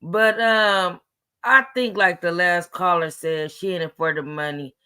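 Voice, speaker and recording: Raspy female caller, blunt and opinionated, casual slang. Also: fairly low pitch, fairly even intonation, unhurried, bright, forceful tone. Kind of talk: casual phone-in chat.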